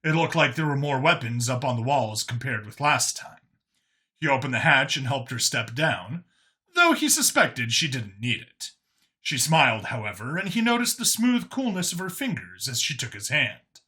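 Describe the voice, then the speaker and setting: Bright-voiced man narrating a story. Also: mid-range voice, sing-song, moderate pace. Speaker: audiobook narrator.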